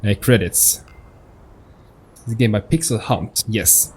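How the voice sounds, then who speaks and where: Husky man doing casual game commentary. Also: lowish voice, takes it slowly, a little mellow. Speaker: gaming video host. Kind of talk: casual let's-play commentary. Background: game music.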